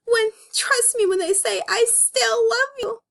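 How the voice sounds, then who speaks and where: A woman's voice: extremely high pitch, measured pace, gravelly, a bit bright, pitched above the performer's own voice, exaggerated voice. A woman voicing a tearful, stubborn young female cartoon bunny in an overwrought dramatic reading of a comic. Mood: distraught, pleading. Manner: pleading, sobbing, overwrought.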